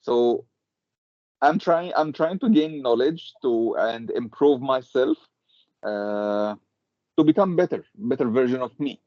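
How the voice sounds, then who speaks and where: A man's voice: mid-range voice, animated, takes it slowly, dry recording. A man from Egypt introducing himself in class, modest and hesitant, with many uhs. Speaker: student. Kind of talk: self-introduction. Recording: dry, dull lo-fi audio.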